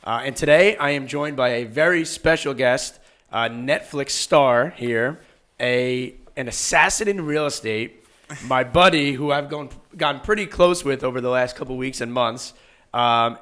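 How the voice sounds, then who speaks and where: A man's voice: medium pitch, fairly bright tone. A man in his twenties from Long Island hosting a casual interview show, upbeat and self-deprecating. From Long Island, New York. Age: 20s. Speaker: podcast host, realtor.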